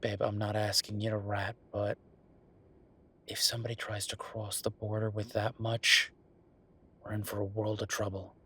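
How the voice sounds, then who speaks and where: A man's voice: on the low side, little pitch movement, moderate pace, pushed and bright, husky. A man playing a wry, affectionate werewolf bureaucrat in an intimate roleplay audio drama, talking in a casual, teasing, conversational way. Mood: wry, concerned.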